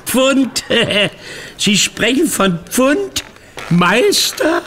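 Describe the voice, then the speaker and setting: An actor playing a cheeky, mocking safecracker crook in a German radio play, with a sardonic, hesitant drawl. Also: male voice, very high-pitched, sing-song, drawn-out words, sharp tone, slight background noise, heavily acted. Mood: highly amused.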